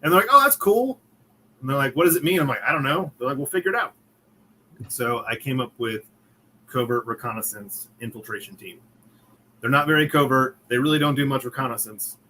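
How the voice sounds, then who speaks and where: A man from Connecticut tells a story in relaxed interview chat, his voice bright and forceful. He acts out the other people's lines as he goes, and a faint noise sits under the recording. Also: medium pitch, a little fast. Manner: storytelling, chuckling, acting out quotes. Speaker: comic creator guest.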